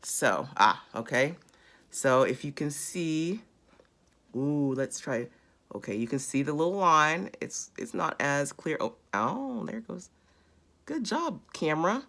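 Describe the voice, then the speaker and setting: A woman in her thirties with a raspy voice, chatting casually while she demonstrates a beauty routine close to a phone camera indoors. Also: deep voice, lively intonation, slow pace, a bit bright. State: raspy after illness. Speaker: beauty vlogger. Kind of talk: casual how-to demo. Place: small indoor room.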